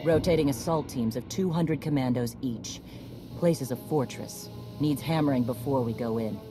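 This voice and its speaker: A woman playing a tough, blunt war leader in a game, terse and gruff. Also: rumbling low, moderate pace, music in the background. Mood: gruff, weary. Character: tough, blunt female Mandalorian war leader, battle-weary. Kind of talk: scripted game cutscene dialogue.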